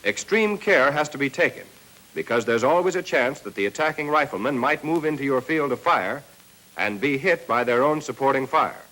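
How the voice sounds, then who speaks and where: An American man narrating a 1940s military training film, reading a scripted lesson and addressing trainees directly with clear instructions. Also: mid-range voice, lively intonation, moderate pace, bright, forceful tone, rough recording.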